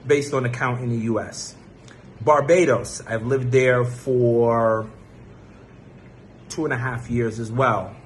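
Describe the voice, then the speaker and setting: A man's voice: mid-range voice, a bit bright. Emphatic man explaining, slight background noise. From born in Jamaica, grew up in the United States. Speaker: vlogger sharing a travel experience. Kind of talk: explanatory personal talk with examples.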